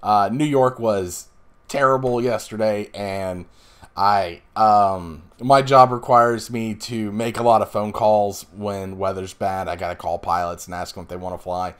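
Man, 30s, slightly hoarse, casual gameplay commentary, rambling, self-deprecating, desk mic. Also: fairly low pitch, fairly even intonation, a little slow. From Northeastern US. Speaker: let's play host.

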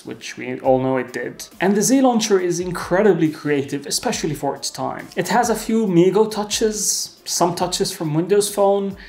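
A young man hosting a tech video, narrating a scripted review in a clear, earnest and opinionated way from a desk at home. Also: fairly high pitch. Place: home desk room with lamp.

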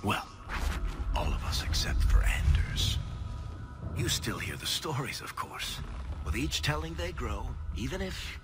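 A man's voice: mid-range voice, big pitch swings, a little slow, over music. A man voicing a wry, roguish middle-aged dwarf in a video game, telling his tale with sardonic drama. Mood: somber narration. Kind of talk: video game voice acting, narrative.

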